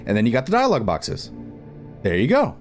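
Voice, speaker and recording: A man's voice: medium pitch, huge pitch swings, a little fast, soft background music. A man giving a casual screen-recorded game modding tutorial, speaking informally in a rambling way and repeating himself. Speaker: video tutorial host.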